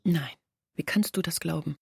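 An actress playing an earnest village woman in a literary radio drama, recounting deliberately in a husky voice. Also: low-pitched, darkish tone, no echo, clean studio sound, full character voice. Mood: earnest, insistent. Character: Olga, earnest village woman defending her sister.

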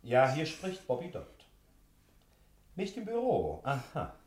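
An actor playing a brisk, self-assured male private detective in a 1960s German radio play, switching between bossy orders and unctuous soothing. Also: medium pitch, wildly swooping pitch, darkish tone, echoey room. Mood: brisk, commanding.

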